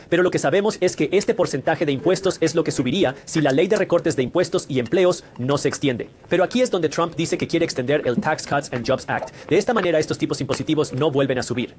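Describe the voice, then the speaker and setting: A man's voice: fairly high pitch, a little mellow, a little hiss or hum. A man giving a methodical finance lesson, walking through tax brackets and figures step by step. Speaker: finance educator.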